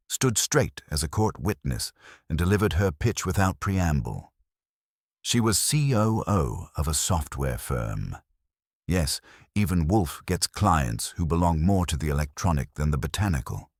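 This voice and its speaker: A man's voice: rumbling low, big pitch swings, a little slow, dry recording, studio quality. A man reading first-person detective fiction as narrator, in a wry, wisecracking manner.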